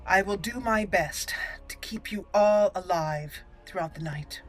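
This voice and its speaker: A woman's voice: medium pitch, soft background music, close to an everyday voice. Woman role-playing a formal, earnest elf heroine. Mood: encouraging, resolute. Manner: formal, measured. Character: earnest young female elf mage warrior leader. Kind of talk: roleplay of game dialogue.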